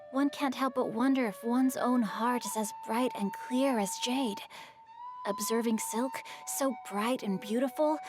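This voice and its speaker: A woman's voice: on the high side, lightly acted. A woman voicing a refined, bookish and mischievous young boy poet in a video game, speaking eloquently and with composure. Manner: eloquent, composed, literary phrasing.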